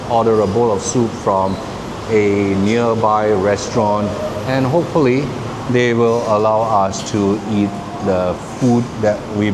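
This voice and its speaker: A Singaporean man hosting a travel vlog, chatting upbeat to the camera at an outdoor night market. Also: fairly low pitch. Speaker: travel vlogger host. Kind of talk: travel vlog narration and chat. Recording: noisy outdoor street recording.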